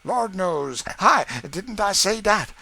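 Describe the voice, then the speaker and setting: A man's voice: medium pitch, very animated, unhurried, pushed and bright, voice pushed up, heavily acted. A man voicing a sly, cheerful young stable hand in an audiobook, with a broad rural dialect and comic exclamations. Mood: sly, exclaiming. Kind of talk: audiobook character voice.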